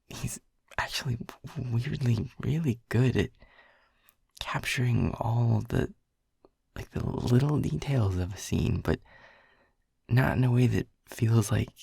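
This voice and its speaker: A man plays a shy, flustered young male air elemental speaking one-to-one in an audio roleplay. His voice is breathy and husky, with some gravelly fry, at an ordinary male pitch. He stammers and repeats words in an earnest, nervous way, recorded very dry and close. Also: a little mellow, dry recording, full character voice. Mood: shy enthusiasm. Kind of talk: intimate audio roleplay addressed to the listener.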